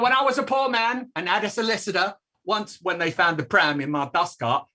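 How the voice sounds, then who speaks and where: Man playing a raspy, blustering old dustman. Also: sits high, sharp tone, full character voice. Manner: rambling, declamatory complaining. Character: blustering, aggrieved old working-class English dustman. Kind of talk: theatrical staged reading.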